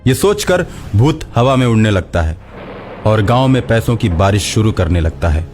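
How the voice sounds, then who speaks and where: Animated male storyteller over soft music. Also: lowish voice, normal speed. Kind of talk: scripted story narration.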